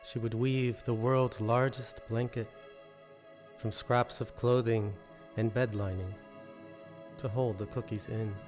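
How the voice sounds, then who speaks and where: A man gives a poetry reading at a very slow pace, his pitch in a middle male range. He phrases each line deliberately, leaving pauses, while an instrumental score plays faintly behind him. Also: fairly even intonation, darkish tone. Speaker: poet reading own work. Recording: musical score under the voice. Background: original instrumental music.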